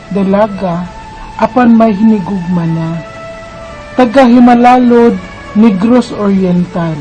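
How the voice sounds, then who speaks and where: A woman's voice: medium pitch, warm tone, close to an everyday voice, rough recording. An actress with a Filipino Visayan accent playing an earnest, trusting young woman in love in a radio drama. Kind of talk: Bisaya radio drama acting and narration.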